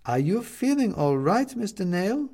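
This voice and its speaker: Man putting on a gentle woman, lifted pitch. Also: very animated, measured pace, exaggerated voice. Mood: kindly, matter-of-fact. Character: friendly, slightly embarrassed woman neighbour named Renata.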